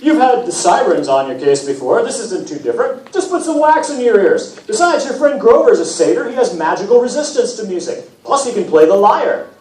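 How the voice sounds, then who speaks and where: A man's voice: high-pitched, big pitch swings, a little fast, few pauses, a little mellow, voice pushed up. A man reading a storybook and voicing a vain, demanding god of music who talks in slangy, self-important fashion. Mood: breezy, bossy. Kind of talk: storybook character read aloud. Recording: roomy, distant, noisy event recording.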